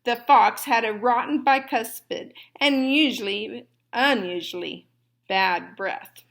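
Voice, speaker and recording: A woman with a high voice reads aloud for children at a slow pace. She is deliberate and explanatory, like a teacher taking a class through a book. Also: gravelly, fairly bright tone. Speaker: story reader and teacher.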